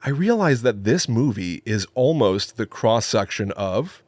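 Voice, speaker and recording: A man's voice: on the low side, sing-song, a little slow, darkish tone, no room sound. A man with an American accent co-hosting a podcast, chatting casually about film in an enthusiastic, emphatic way. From New England, United States. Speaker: podcast co-host.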